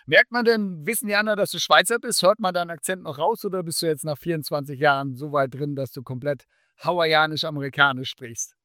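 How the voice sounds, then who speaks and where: A man's voice: on the high side, fairly quick, hardly any pauses, bright, forceful tone, no echo, clean studio sound. A man interviewing a guest, asking questions in a chatty, joking way. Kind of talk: casual interview.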